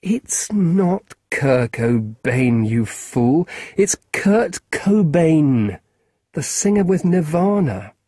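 A man's voice: on the high side, big pitch swings, very slow, mellow, breathy edge, no room sound, clean studio sound, voice pushed up, exaggerated voice. A man doing a blunt, exasperated grown man. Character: weary, blunt adult man, impatient with a boy.

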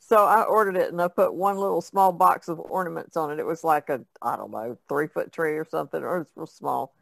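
A woman's voice: low voice, brisk, creaky, no room sound, poor audio. An older American woman chatting casually on a podcast in a wry, plain-spoken way. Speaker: podcast panelist. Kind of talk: casual chat.

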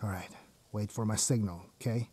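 An actor in an audio drama playing a hardened, commanding rebel gang leader, fiercely loyal and ruthless, with a husky voice. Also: male voice, fairly low pitch, steady tone, fairly quick, warm tone, slight background noise, close to an everyday voice. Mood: grim, commanding. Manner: commanding, intense. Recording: music under the voice.

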